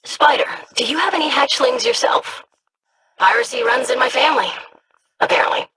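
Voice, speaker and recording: A woman's voice: high-pitched, moderate pace, background noise, natural voice. A woman voicing an earnest, inquisitive young alien scholar in a video game, with precise phrasing. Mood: curious. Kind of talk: video game character acting.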